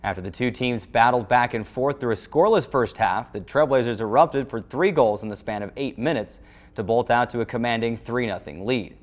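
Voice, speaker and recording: A man's voice: mid-range voice, fairly quick. Male sports show host, scripted recap delivery. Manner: formal sports-broadcast phrasing.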